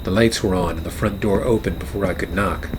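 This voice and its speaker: A man playing a wry, hard-boiled private eye and war veteran, narrating a noir radio play in a terse, deadpan way. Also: low-pitched, a little fast, background noise, natural voice. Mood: brooding. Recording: music behind the voice. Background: music.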